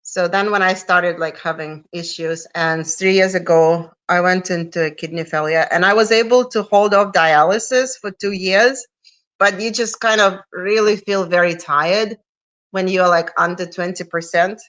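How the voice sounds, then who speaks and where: A woman, talking from home over a webcam. Her voice is bright and clear, and she speaks softly and matter-of-factly, with plenty of quiet mm-hmms. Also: fairly low pitch, moderate pace. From Russia. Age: 40s. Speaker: guest. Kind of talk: conversational interview. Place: home room, indoors. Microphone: video call webcam.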